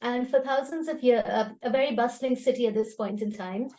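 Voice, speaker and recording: Female poetry host, fairly high voice, conversational explainer and reciter. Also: fairly quick, mellow. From Karachi, Pakistan. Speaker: host and poet at a poetry gathering. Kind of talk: spoken introduction and poetry recital. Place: online video call.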